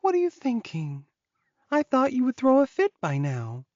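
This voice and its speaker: A woman's voice: high-pitched, wildly swooping pitch, moderate pace, a little mellow, dry recording, highs cut off. A woman voicing a loyal, resigned witch guarding a captive in an audiobook, matter-of-fact as she explains. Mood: amused.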